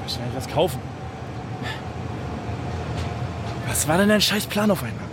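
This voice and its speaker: A man's voice: a little high, lively intonation, fast, noisy background, close to an everyday voice. An actor playing a pragmatic, glib young man in a radio drama, talking in casual slang. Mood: exasperated.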